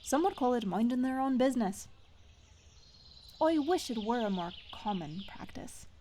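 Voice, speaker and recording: A woman's voice: on the high side, lively intonation, moderate pace, dark tone, slight background noise, natural voice. A woman playing a stubborn, sardonic blacksmith's daughter in a fantasy audio roleplay, wry and casual in manner. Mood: defiant, sardonic.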